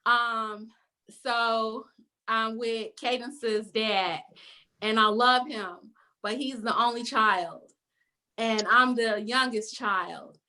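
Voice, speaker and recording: Bright-voiced Chicago woman, reflective. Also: on the high side, steady tone. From west side of Chicago. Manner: reflective, with frequent "um" pauses. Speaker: panelist. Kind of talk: candid personal sharing on a video call panel.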